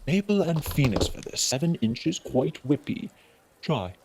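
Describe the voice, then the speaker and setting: A man voicing an eccentric, eerie old wandmaker, soft-spoken and musing, in a theatrical storybook reading. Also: a little high, sing-song, slightly rough, pitched above the performer's own voice, full character voice. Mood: brisk, eager. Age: older. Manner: musing, theatrical, drawn-out phrasing with sudden eager bursts. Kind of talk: dramatic audiobook reading with character voices.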